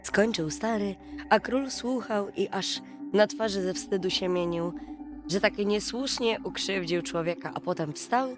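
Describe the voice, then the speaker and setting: A woman narrating a scripted fairy tale for children at bedtime, in an expressive storytelling manner. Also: mid-range voice, measured pace. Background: music.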